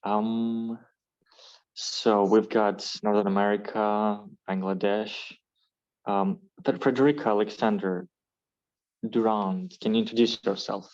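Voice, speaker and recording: A man's voice: fairly low pitch, steady tone, measured pace, darkish tone. A man from Ukraine, the club president, hosting an online meeting with a hesitant, friendly manner. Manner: hesitant, friendly, many fillers. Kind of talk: online meeting host chat. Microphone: video call. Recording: dull lo-fi call audio.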